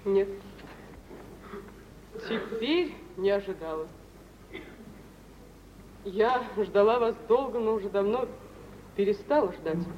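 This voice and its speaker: A woman's voice: medium pitch, animated, takes it slowly, long pauses. An actress playing a proud, wounded, forsaken young woman in an old Russian radio play, with emotional theatrical delivery. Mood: guarded, sad. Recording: muffled, noisy 1950s radio play recording.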